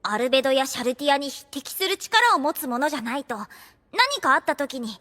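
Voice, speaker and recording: A woman's voice: high-pitched, expressive, fairly quick, bright, forceful tone, long reverb tail. A woman playing a young tomboy girl in an anime audio drama, blunt and casual, with hesitant protests.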